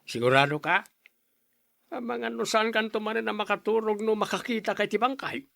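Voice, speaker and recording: An actor playing a concerned, questioning father in a radio drama, speaking in an expressive, coaxing way. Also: high-pitched, normal speed, creaky, dry recording, clean studio sound, heavily acted. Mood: doubtful.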